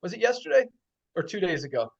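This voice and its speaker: A man's voice: high-pitched, big pitch swings, quick pace, gravelly, highs cut off. A man hosting a company call, enthusiastically reporting numbers and repeating them for emphasis. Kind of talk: upbeat company update on a video call.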